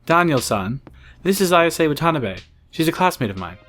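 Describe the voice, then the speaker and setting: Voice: mid-range voice, big pitch swings, moderate pace, fairly bright tone, faint music behind. A performer voicing an earnest, polite, easily embarrassed schoolboy in an audiobook drama.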